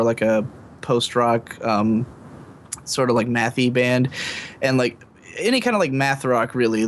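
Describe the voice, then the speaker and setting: A man talks casually on a podcast in an average pitch. He rambles candidly and explains in detail. There is faint noise and rumble behind him. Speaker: podcast guest panelist.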